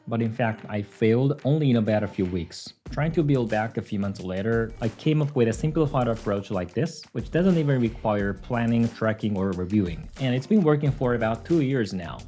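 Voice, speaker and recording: A young Japanese man, speaking English as a second language, calmly narrating a scripted video about his language learning. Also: mid-range voice.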